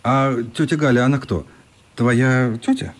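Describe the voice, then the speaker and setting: An actor playing a kindly, curious grown man in a radio play, talking informally and gently probing. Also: mid-range voice, a little slow, natural voice. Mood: gentle, apologetic.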